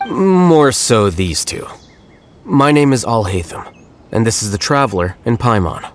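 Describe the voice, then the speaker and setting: An actor playing a calm, composed male scholar speaking in a measured way. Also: mid-range voice, slight background noise, lightly acted. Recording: game soundtrack behind.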